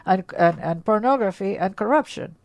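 A woman's voice: medium pitch, lively intonation, normal speed, a little mellow, no room sound. A woman hosting a call-in radio show, speaking emphatically and often repeating words as she starts a thought. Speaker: radio host.

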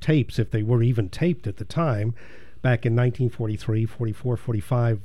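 A man's voice: medium pitch, brisk, dark tone. Man hosting an interview, stumbling slightly. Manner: friendly, stumbling questions. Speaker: interview host. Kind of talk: casual interview.

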